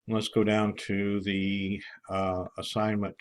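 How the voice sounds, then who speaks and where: A man with a fairly low voice speaks as a course instructor guiding students through an online walkthrough. His delivery is informal and halting, broken by frequent pauses as he works through the material step by step. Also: completely flat delivery. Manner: halting, with many pauses and throat clearing.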